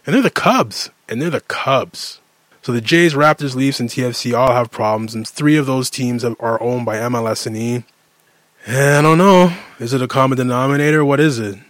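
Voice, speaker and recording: A man's voice: medium pitch, moderate pace, a bit bright, no room sound. Exasperated Canadian man ranting, close dry sound. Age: late 30s. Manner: exasperated, emphatic, repeats phrases for effect. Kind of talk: casual sports rant monologue.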